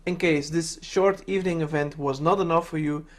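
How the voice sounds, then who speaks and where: A man's voice: a little high, steady tone, normal speed. A man reading scripted announcements as host of an online event, in a polite, formal way.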